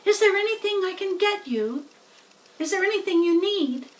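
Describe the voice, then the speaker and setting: A woman voicing an excitable, anxious, friendly young male pig in a storybook, with eager, fretful exclaiming. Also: very high-pitched, normal speed, recorded from a distance, a little hiss or hum, voice pushed up.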